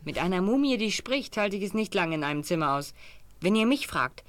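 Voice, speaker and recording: An actress playing a wary, uneasy character in a mystery audio drama. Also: female voice, medium pitch, very animated, brisk, no room sound, close to an everyday voice.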